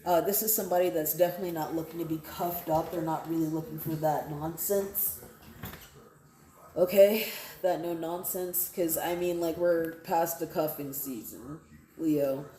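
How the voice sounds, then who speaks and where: Low-voiced woman in an echoey room. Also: steady tone, normal speed, dark tone. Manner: conversational, repeats phrases, often checks in with "right?" and "okay?". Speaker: tarot card reader. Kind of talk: casual, improvised tarot reading addressed to viewers.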